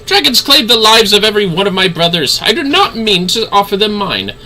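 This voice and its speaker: A man voicing a disdainful, high-flown adventurer from a fantasy video game in a formal, theatrical manner. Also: big pitch swings, fairly quick, bright, forceful tone, heavily acted, low-quality recording. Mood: haughty, bitter.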